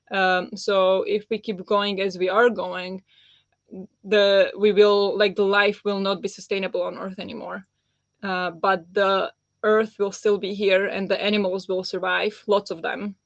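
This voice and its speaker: A woman chats easily on a book live stream, answering viewers with plenty of fillers and little laughs. Her voice sits at a middling pitch. The sound is dry and a bit dull, like a plain home setup. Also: dry recording. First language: Slovak. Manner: chatty, warm replies to viewers with frequent fillers and laughs. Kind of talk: live-stream chat reading out comments.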